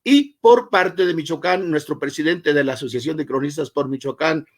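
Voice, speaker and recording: A man from Mexico's Guerrero coast, speaking as a chronicler on a virtual panel, pausing often on filler sounds as he talks. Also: on the high side. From Mexico, Costa Chica of Guerrero. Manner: hesitant, many filler "eh" pauses. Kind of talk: formal virtual panel talk. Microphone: video call.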